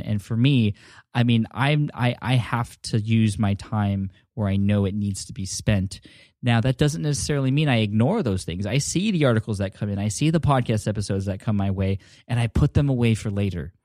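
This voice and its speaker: Friendly podcast host, dry close sound. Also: male voice, medium pitch, darkish tone, dry recording. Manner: friendly, rambling, frequent restarts. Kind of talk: casual solo podcast talk.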